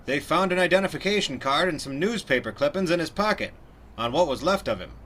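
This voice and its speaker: A man playing a blunt, excitable police officer giving a report in 1930s radio drama style. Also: a little high, brisk. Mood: brisk, blunt.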